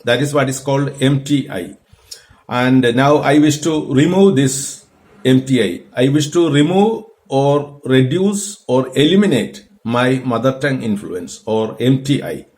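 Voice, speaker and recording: A man from Kerala, India, with a Malayalam-influenced Indian accent, teaching English in an earnest, deliberate way and often repeating himself. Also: medium pitch, lively intonation, darkish tone. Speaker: English tutor and group organizer. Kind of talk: spoken English lesson with an AI chatbot.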